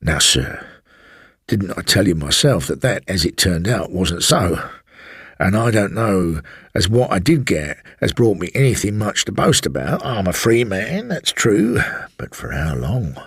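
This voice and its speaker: A man voicing a sly, brooding elderly former servant with a rustic working-class English accent, telling his tale slowly and insinuatingly in a husky voice. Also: deep voice, sing-song, no echo, studio quality, exaggerated voice. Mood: defensive, ominous. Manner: slow, insinuating, colloquial storytelling. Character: sly elderly former manservant, evasive and brooding, recounting a haunting.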